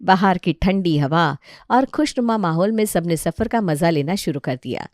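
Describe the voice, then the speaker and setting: A woman's voice: lowish voice, expressive, normal speed, a little mellow, dry recording, clean studio sound. A woman narrator reading a scripted family story.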